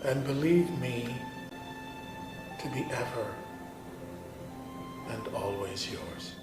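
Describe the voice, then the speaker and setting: A man playing an impassioned American abolitionist, giving an oratorical dramatic reading of his letter with pauses and swells. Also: medium pitch, lightly acted. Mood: solemn farewell. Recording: roomy, distant microphone, music.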